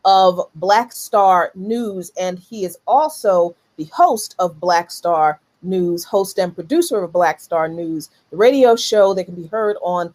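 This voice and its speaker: A woman's voice: fairly low pitch, measured pace, few pauses, darkish tone. Woman hosting a current events talk, earnest delivery. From United States. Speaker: show host.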